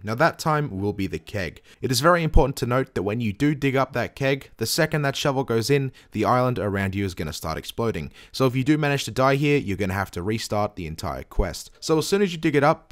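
Man narrating a game guide, lively swooping pitch. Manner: step-by-step explaining, addressing the viewer directly. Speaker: video game guide host. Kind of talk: instructional gameplay walkthrough narration.

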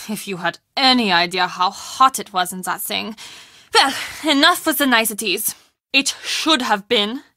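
Bright, dramatic castle woman. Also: on the high side, no echo, studio quality. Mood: bitter, menacing. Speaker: voice actor in a mystery adventure game. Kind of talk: scripted video game character dialogue.

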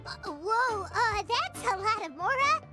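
A woman's voice: very high-pitched, very animated, a little slow, exaggerated voice. A woman voicing a tiny, childlike, eager and talkative fairy companion in a video game, with exclamatory cartoon delivery. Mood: chatty. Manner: speaks of self in third person, exclamatory. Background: game music.

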